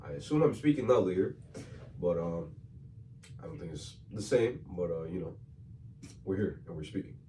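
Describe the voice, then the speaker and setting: Hoarse deep-voiced New podcast host. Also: male voice, animated, normal speed, pauses often, mellow. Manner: loose, slangy, repeats himself. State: hoarse from a cold. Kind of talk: casual chat. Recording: distant mic with faint room noise.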